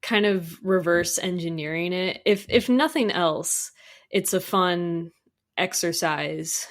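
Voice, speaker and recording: A woman's voice: lowish voice, takes it slowly. A woman chatting casually as a podcast guest, with an enthusiastic, informal way of talking. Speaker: guest, audio drama composer. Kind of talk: casual podcast interview chat.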